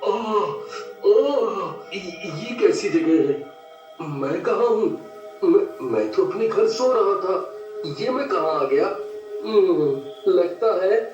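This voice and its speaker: A man's voice: sits high, animated, takes it slowly, darkish tone. A man playing a timid, lovestruck, boastful young village simpleton in a story, with whiny, stammering speech. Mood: dazed, hurt. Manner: whiny exclamations, hesitant stammering. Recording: echoey, distant, dull audio with music.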